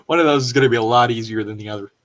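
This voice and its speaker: A man's voice: medium pitch, rapid-fire, fairly bright tone. A man hosting a casual fanfiction reading, commenting with incredulous, sweary asides. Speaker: host.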